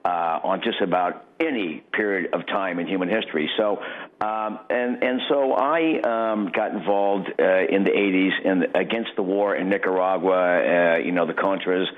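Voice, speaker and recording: A middle-aged man talking casually as a radio interview guest, in a halting, rambling way with repeated starts. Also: low-pitched. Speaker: radio guest, political satirist and candidate. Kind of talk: casual interview talk, personal anecdotes. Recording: dull lo-fi radio audio.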